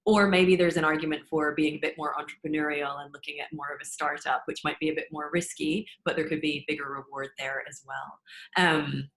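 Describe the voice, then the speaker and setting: A woman's voice: low-pitched, a bit bright, slight background noise, rough recording. A woman from Scotland in her thirties, speaking reflectively as a panelist on a video call. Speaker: panelist, agency founder. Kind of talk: virtual panel talk.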